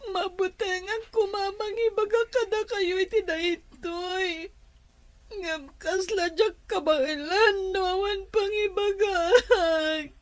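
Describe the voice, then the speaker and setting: A woman's voice: extremely high pitch, fairly even intonation, slow pace. A woman playing a distressed young daughter who speaks tearfully and pleadingly.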